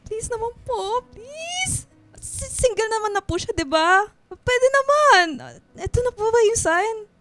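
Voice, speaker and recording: An actress playing a bubbly, smitten young woman in a radio drama, coy and girlish, mixing in some English. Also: sits high.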